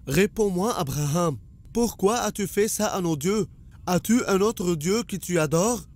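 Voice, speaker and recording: Man as a slow, menacing cartoon tyrant king. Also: high-pitched, expressive, a little mellow. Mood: imperious. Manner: imperious, commanding. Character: arrogant, menacing tyrant king interrogating a prisoner. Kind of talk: dubbed religious cartoon acting.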